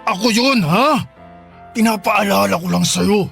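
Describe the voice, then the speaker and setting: A man's voice: high-pitched, measured pace, creaky, fairly bright tone, soft background music, heavily acted. An actor playing a cruel, stingy, bullying husband and father in a radio drama. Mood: menacing. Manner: bullying, sneering, dismissive. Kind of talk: Tagalog radio drama acting.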